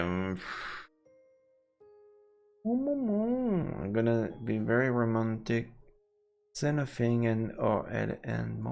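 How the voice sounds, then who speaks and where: A man with a gravelly voice doing casual let's play commentary and reading game text aloud as he streams. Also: mid-range voice. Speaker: streamer playing a visual novel. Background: game music.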